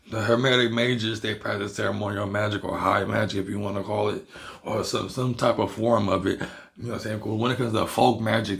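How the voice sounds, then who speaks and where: A man from Barbados with a Bajan accent, hoarse from losing his voice, explaining a topic in a rambling, repetitive casual monologue as a video host. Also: on the low side. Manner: rambling, repeats himself and restarts words. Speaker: hoodoo practitioner and video host.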